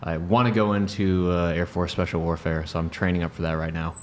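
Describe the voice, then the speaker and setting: A man in his late twenties introducing himself earnestly, with measured pauses. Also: low-pitched, level tone, slight background noise. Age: 20s. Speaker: panel guest.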